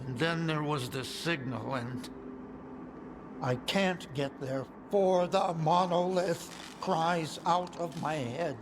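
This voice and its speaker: A man's voice: a little high, slow. Earnest male scientist, noisy surroundings. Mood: grave, uneasy. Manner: earnest, explanatory. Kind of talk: video game cutscene acting.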